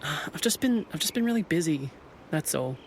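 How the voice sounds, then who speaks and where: A man's voice: a little high, animated, moderate pace, slight background noise. A young man playing an anxious, hurt misfit swan in a modern audio drama, speaking in a hesitant, conversational way. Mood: uneasy. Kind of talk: audio drama acting, naturalistic modern dialogue.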